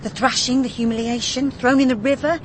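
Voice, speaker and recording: An actress playing a brisk, keen junior police detective with a direct manner in a radio drama. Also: female voice, high voice, fairly even intonation, slight background noise, lightly acted. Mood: keen, emphatic. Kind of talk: radio drama acting and narration.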